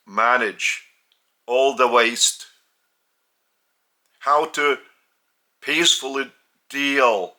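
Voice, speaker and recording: Slow, halting Lithuanian-accented man. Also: medium pitch, sharp tone. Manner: deliberate, halting, with long pauses. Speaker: spiritual teacher. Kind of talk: slow spiritual teaching.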